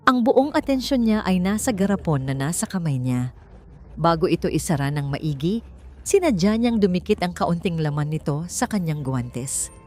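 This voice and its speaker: A woman's voice: fairly low pitch, animated, moderate pace, warm tone. A woman with a Filipino accent reading a dramatic story as an audiobook narrator. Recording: music bed under the voice. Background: background music.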